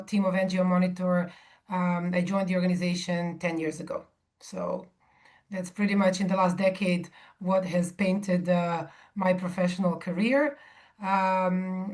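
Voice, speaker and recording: Woman from Serbia giving a talk. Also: fairly low pitch. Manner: measured, with frequent ums and restarts. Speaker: guest speaker. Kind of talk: talk to a live audience.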